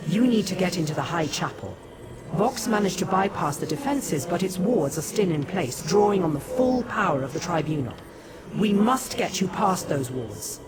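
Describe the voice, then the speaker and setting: A woman playing a solemn, regal living goddess in a fantasy game, speaking in a stately, commanding, measured manner. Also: on the low side, lively intonation, over loud music, natural voice. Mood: solemn, grave. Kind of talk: scripted fantasy game dialogue. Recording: noisy game ambience behind.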